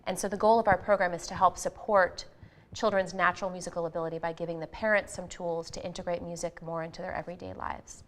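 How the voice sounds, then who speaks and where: A woman's voice: lowish voice, little pitch movement, fast. A woman guest on an interview show, explaining her music program fluently and earnestly. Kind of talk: interview answers.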